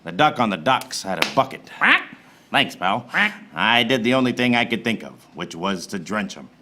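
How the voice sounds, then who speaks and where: A man plays a hard-boiled but bumbling private detective in a comic noir radio play. His low voice is deadpan and wry, piling up alliteration over clearly audible music. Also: moderate pace. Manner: deadpan, tongue-twisting alliteration. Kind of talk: film noir comedy radio serial acting, narrating and in dialogue. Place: theater stage, performed live.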